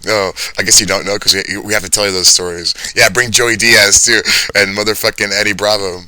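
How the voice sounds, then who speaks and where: A young man with a deep baritone voice rambling through a casual monologue, swearing often and laughing at his own jokes. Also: steady tone, a little fast, pushed and bright, no room sound. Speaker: vlogger. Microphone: handheld or phone mic, speaking softly.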